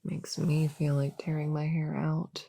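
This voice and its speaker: A woman playing an eager, slightly grumpy young novice witch in a soft-spoken ASMR roleplay, chatting casually and hesitantly to a friend in a breathy voice that often drops to a whisper. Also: low-pitched, level tone, measured pace, dry recording, exaggerated voice. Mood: grumpy, wistful. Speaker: audio roleplay performer. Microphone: close microphone in a dry space.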